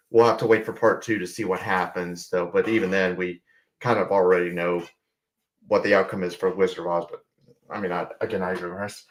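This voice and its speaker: A man on a video call giving a rambling, enthusiastic film review with many restarts. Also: medium pitch. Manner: rambling, full of restarts and fillers. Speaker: panelist. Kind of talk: casual film review discussion.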